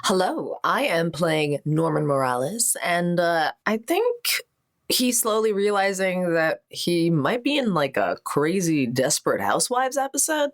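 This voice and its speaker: A woman's voice: lowish voice, very animated, a little slow, no echo, clean studio sound. A woman chatting casually as a player in a tabletop roleplay show, in a thoughtful, slightly hesitant way. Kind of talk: casual chat.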